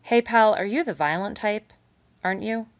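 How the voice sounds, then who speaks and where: A woman voicing a big male beaver, a hearty, smooth-talking schemer in a read-aloud storybook. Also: moderate pace, no room sound, close to an everyday voice. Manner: jovial, booming, salesman-like.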